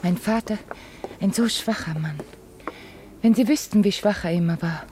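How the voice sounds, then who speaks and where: Woman playing a mellow, wistful young dreamer. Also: mid-range voice, normal speed, slight background noise, close to an everyday voice. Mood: tender, musing. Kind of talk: German radio drama acting.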